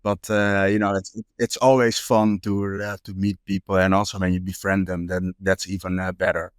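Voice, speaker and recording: A man's voice: low-pitched, little pitch movement, normal speed, clear, resonant tone, no room sound, clean studio sound. A young man from the Netherlands, a street photographer talking casually as a guest, with many fillers and restarts. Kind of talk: casual interview chat.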